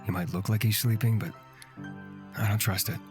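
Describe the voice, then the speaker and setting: Woman putting on a low, gruff, wary man. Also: steady tone, moderate pace, over music. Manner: curt, blunt.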